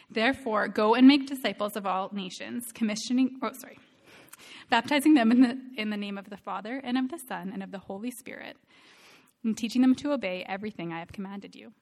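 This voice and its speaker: A woman's voice: mid-range voice, gravelly. A woman in her thirties giving a church talk on mission, reading scripture aloud in an earnest, emphatic way. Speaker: missionary speaker presenting to a church.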